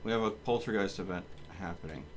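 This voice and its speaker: A man's voice: deep voice, fast talker, a little hiss or hum. A man doing live gameplay commentary as a streamer, talking to himself in short running remarks. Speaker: game streamer. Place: indoors, streaming a game.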